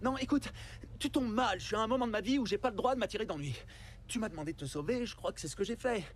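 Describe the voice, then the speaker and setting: A man's voice: high-pitched, quick pace, a little hiss or hum, lightly acted. A man voicing an exasperated, sarcastic small-town cop in a fan dub. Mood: fed up.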